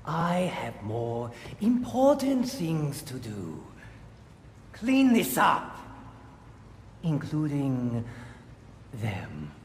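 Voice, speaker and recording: An actor playing a crazed, arrogant crime boss in a video game, with a taunting, menacing and theatrical delivery. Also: male voice, medium pitch, wildly swooping pitch, very slow, pauses often, heavily acted. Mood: imperious, dismissive. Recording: echoey room, faint noise.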